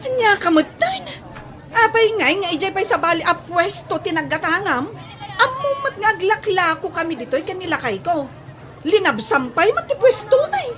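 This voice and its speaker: A woman's voice: very high-pitched, very animated, normal speed. A woman playing a scolding market fish-vendor wife in an Ilocano comedy radio drama, with an Ilocano accent. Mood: indignant. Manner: scolding, loud vendor calls. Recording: noisy mix with faint crowd behind.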